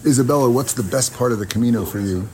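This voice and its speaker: A man working as a radio host, interviewing pilgrims outdoors in a small village, asking short questions between many pauses. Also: medium pitch, background noise. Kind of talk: field interview on a walking trail.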